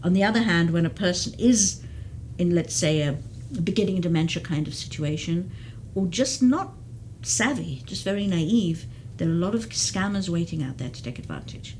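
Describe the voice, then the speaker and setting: Woman, geriatric case manager, lowish voice, earnest, repeats words, faint background rumble. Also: expressive, normal speed, few pauses, darkish tone, poor audio. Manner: earnest, explains at length with many restarts. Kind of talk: explanatory interview talk. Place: community TV studio.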